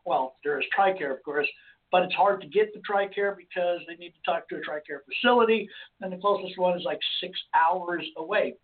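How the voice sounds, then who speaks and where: A hoarse man hosting a call-in radio show, talking casually and rambling with restarts. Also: sits high, a little fast. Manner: rambling, with many restarts and fillers. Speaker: host. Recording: phone-like lo-fi line.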